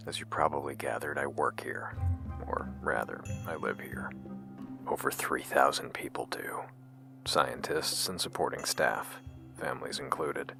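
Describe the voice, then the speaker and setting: A man playing a grave scientist in scripted audio drama dialogue, speaking deliberately with hesitant pauses. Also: very deep voice, gravelly, full character voice. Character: grave, secretive male scientist and his questioning double. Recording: music under the voice. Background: music.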